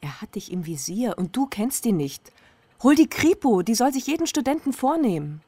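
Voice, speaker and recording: A woman's voice: on the high side, sing-song, moderate pace, dark tone, husky, dry recording, full character voice. A woman playing a blunt, sceptical activist in a radio crime drama, terse, dry and insistent. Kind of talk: German radio crime drama acting, intimate dialogue.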